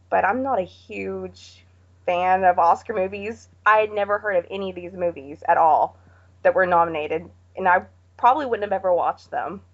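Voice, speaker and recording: A woman's voice: fairly low pitch, highs cut off. A woman talking informally as a podcast panelist in a casual, plain-spoken movie chat.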